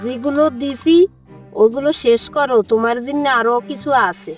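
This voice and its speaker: A woman's voice: high-pitched, steady tone, moderate pace, over music, natural voice. A woman voicing a hospitable, doting older mother-in-law who coaxes in a motherly way in a comedy cartoon. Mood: coaxing. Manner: coaxing, motherly.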